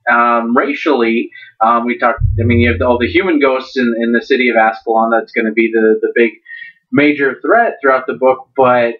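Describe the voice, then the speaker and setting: A man hosting a casual review, talking in a halting way as he searches for his words. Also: medium pitch, lo-fi sound. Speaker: video host and book reviewer. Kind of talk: casual review talk with dramatic book readings.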